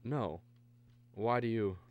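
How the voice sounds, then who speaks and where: A man's voice: lowish voice, takes it slowly, lo-fi sound. An actor playing an earnest American math teacher and family man who tells his story in a 1950s radio drama style. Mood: casual, puzzled. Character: earnest abducted math teacher, husband and father, narrating.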